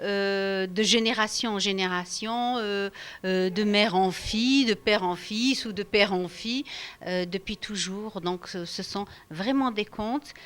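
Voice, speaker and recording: A woman's voice: mid-range voice, a little slow, clear, resonant tone. Hesitant woman storyteller from Algeria. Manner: hesitant, many fillers, warm laughter when animated. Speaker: storyteller guest. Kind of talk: radio interview. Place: storytelling festival.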